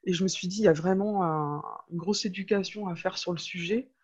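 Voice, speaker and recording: A woman's voice: on the low side, a little fast, a little mellow, breathy edge, muffled recording. A woman speaking as a podcast guest, telling her own story casually in a chatty way, often searching for her words. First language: French. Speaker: podcast guest, web entrepreneur. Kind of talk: casual interview, personal storytelling.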